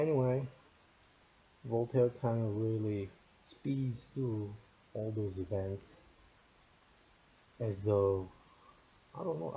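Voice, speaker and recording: A man's voice: mid-range voice, creaky, mellow, highs cut off, slight background noise. A man of thirty-nine whose first language is Korean, giving a casual, thoughtful spoken reflection on a book, with many restarts and fillers. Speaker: book reviewer.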